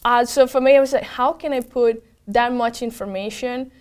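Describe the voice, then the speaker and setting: Clear, higher-voiced Venezuelan woman being interviewed. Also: steady tone, moderate pace. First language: Spanish. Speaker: interview guest, tech program manager. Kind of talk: conversational interview answers.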